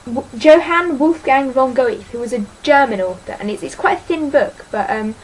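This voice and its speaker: A woman's voice: high voice, lively intonation, moderate pace, rough recording. A teenage girl chatting casually about books in a vlog, rambling and hesitant, full of ums and sort-ofs, self-deprecating. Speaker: book vlogger.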